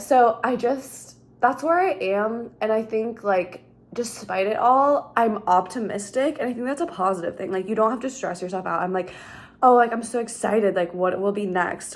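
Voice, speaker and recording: A woman, chatting casually in a rambling vlog at home, full of "like" and "um". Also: medium pitch. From Minnesota, USA. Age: 30s. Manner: rambling, chatty, heavy use of "like". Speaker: vlogger. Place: her home.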